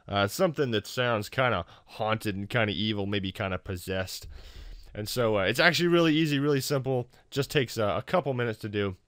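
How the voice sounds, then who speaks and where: A man with a fairly low voice hosts a software tutorial. He talks informally, with a wry, self-deprecating manner. The sound is dry and close, with little room around it. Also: fairly quick, smooth, no room sound. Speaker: tutorial host. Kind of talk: casual software tutorial narration.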